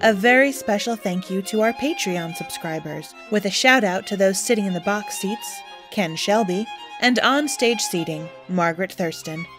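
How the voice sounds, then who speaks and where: A woman announcer reads the closing credits in a warm, upbeat voice. Her pitch sits in the middle range for a woman. Faint music plays quietly behind her. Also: lively intonation, moderate pace, hardly any pauses.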